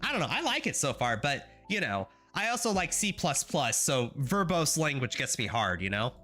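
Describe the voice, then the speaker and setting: A man's voice: fairly high pitch, big pitch swings, normal speed, sharp tone, soft background music. Congested, nasal young-sounding man streaming. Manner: chatty, rambling, wry asides to chat. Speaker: livestreamer learning graphics programming. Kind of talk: casual live-coding stream commentary.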